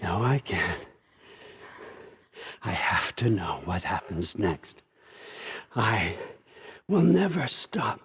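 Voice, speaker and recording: A man's voice: lowish voice, very animated, slow, pauses often, fairly bright tone, husky, exaggerated voice. An older American man acting in a video game as a scheming, controlling old father god, wry, folksy and conversational as he manipulates. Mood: intense, obsessive.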